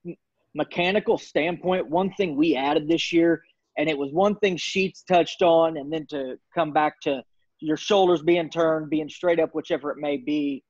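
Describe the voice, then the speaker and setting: A man explains a coaching drill step by step over a video call. His voice sits a bit high, and the audio is dull and dry. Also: steady tone, unhurried, darkish tone, dry recording. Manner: methodical, explanatory. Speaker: baseball coach. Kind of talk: video call panel discussion. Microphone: video call webcam.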